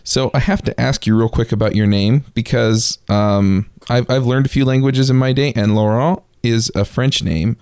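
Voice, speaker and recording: A man's voice: medium pitch, fairly even intonation, normal speed, few pauses, a little mellow, clean tone, no room sound, studio quality. A man hosting a podcast panel in a casual, friendly manner, laughing easily. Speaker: podcast host.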